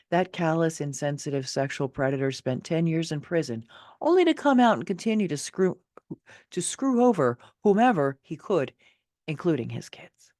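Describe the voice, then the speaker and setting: A woman's voice: low-pitched, dark tone, dry recording, studio quality. A middle-aged woman reading her own personal essay in an emphatic, theatrical, motivational way. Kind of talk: expressive scripted narration of a personal essay.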